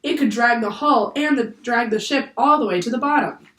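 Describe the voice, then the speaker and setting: A woman voicing a grim, forceful male ship's captain who shouts orders in a children's audiobook. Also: fairly high pitch, moderate pace, voice pushed up, close to an everyday voice. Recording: roomy echo, distant from the mic.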